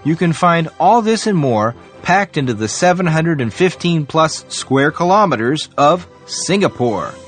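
Guy narrating a travel piece, lively voice, faint music behind. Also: fairly high pitch, measured pace, few pauses. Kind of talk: scripted narration.

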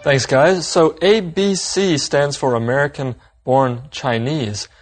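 Man, lesson lead, medium pitch, even guiding delivery. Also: slow, over loud music. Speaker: language lesson host. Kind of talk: scripted lesson dialogue.

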